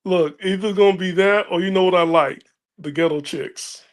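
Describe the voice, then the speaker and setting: A man's voice: high-pitched, normal speed. A man hosting a casual podcast chat, joking and laughing often. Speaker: podcast host.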